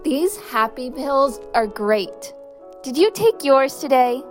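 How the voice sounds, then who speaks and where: Audio drama role: young female survivor, high voice, defiant and desperate, music underneath. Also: expressive, takes it slowly. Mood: chirpy, breezy.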